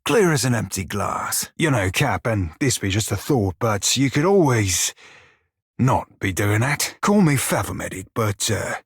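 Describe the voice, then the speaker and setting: Game role: roguish furry sailor, husky growl, bawdy and sardonic, mid pitch, close dry sound. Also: male voice, big pitch swings, a little slow, no room sound, full character voice. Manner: salty, colloquial pirate talk, wry and crude. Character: roguish, bawdy, sardonic blue-furred pirate sailor creature. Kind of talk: video game character dialogue and barks.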